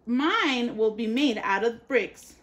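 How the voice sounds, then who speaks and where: Woman voicing little storybook pigs, tiny, squeaky, boastful, very high. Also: lively intonation, slow pace, fairly bright tone. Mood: defiant. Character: little pigs, small, young and defiant.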